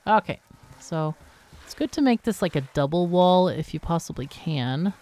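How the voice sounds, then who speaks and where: A woman's voice: lowish voice, lively intonation, moderate pace, mellow. A woman gives casual, unscripted game commentary, thinking aloud and asking herself questions as she plays. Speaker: gaming video host.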